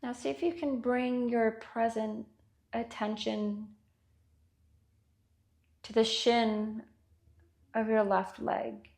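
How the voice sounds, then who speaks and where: Slow, creaky-voiced woman guiding meditation. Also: a little high, little pitch movement. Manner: gentle unhurried instruction with long pauses. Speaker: meditation guide. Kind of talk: guided body scan meditation.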